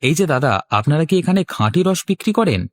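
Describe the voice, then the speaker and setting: A man's voice: fairly high pitch, very animated, fast talker. A man playing a naive, polite city visitor in a cartoon, chatty and trusting. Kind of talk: cartoon voice acting.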